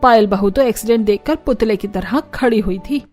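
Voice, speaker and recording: A woman's voice: mid-range voice, normal speed, a little mellow, faint music behind, lightly acted. Woman playing a stern, scolding mother-in-law. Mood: reproachful. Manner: reproachful, admonishing. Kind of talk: Hindi cartoon family drama.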